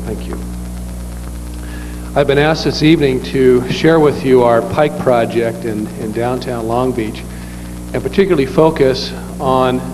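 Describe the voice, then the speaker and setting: A man speaking as a developer on a panel, hoarse from a cold, giving formal, polite and measured remarks. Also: mid-range voice. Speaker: real estate developer on a panel. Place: lecture hall. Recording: hall reverb with clear background noise.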